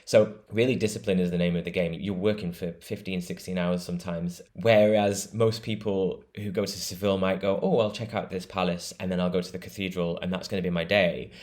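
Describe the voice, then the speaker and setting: British guy with a really low voice, chatty and keen, explains stuff at length. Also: steady tone, brisk, few pauses, darkish tone. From Britain. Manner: enthusiastic, laughs easily, explains at length. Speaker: guest travel writer. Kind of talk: conversational interview.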